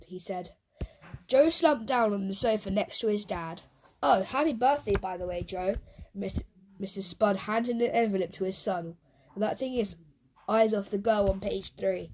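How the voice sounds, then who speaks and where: A woman narrating a children's audiobook in an expressive storytelling way, with the odd stumble over words. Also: mid-range voice, slight background noise, poor audio. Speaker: audiobook reader.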